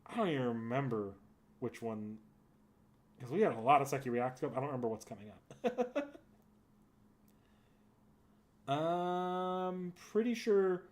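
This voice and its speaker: A man with an average-pitched voice talks casually as a livestream host. He rambles through his thoughts, backing up and correcting himself as he answers his viewers. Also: lively intonation, measured pace, pauses often, darkish tone. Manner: rambling, thinking aloud with frequent self-corrections. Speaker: streamer and host.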